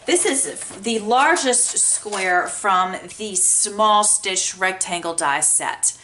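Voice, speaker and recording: Bright-voiced, chatty woman, a bit congested. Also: mid-range voice, expressive, slow. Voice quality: a little scratchy. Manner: chatty, enthusiastic. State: congested from a sinus infection. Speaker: crafting tutorial host, card maker. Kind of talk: casual how-to craft demonstration.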